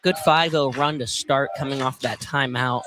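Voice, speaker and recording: A man giving conversational live basketball analysis as color commentator, with halting phrasing. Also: mid-range voice, fairly even intonation, noisy background. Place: indoor college field house, courtside. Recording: live gym broadcast with crowd. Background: game crowd.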